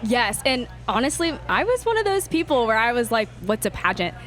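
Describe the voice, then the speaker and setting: Bubbly 20s woman on a podcast, laughs a lot, kinda high voice. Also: very animated, a little fast, fairly bright tone. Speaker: podcast guest. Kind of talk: casual chat.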